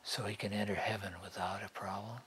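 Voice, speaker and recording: Man acting a brooding, hushed death row convict. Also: on the low side, flat delivery. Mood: bitter, questioning. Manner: brooding, taunting, hushed breathy delivery with long pauses. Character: bitter, sardonic male death row convict awaiting execution. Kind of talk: dramatic film monologue.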